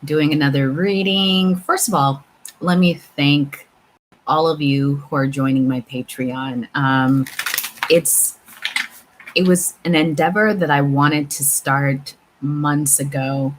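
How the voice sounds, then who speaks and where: Female spiritual vlogger, low pitch, casual and reflective explainer with stop-and-start phrasing, slight background noise. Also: slow, rough recording. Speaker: tarot reader and channel host. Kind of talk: casual spiritual talk to viewers. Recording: clear steady background room noise.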